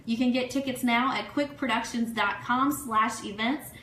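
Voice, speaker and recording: A woman with a bright, fairly high voice hosts a casual livestream show. She chats in an effusive, excitable way, gushing through shout-outs to sponsors and listeners. The sound has the echo of a live room. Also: normal speed. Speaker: online radio show host. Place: room, live social media stream. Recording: echoey room, faint noise.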